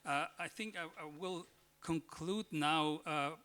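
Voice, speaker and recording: A man addresses a conference panel in a somewhat high, bright voice. He hesitates a lot, filling gaps with "uh" and "like" and restarting his sentences. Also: a little slow, clear, resonant tone. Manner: hesitant, many fillers and restarts. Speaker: panelist, member of parliament.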